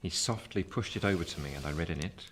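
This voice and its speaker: An actor narrating in the first person as an earnest English gentleman looking back on his life in a radio play. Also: low-pitched, fast, slight background noise, natural voice. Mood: sober recollection.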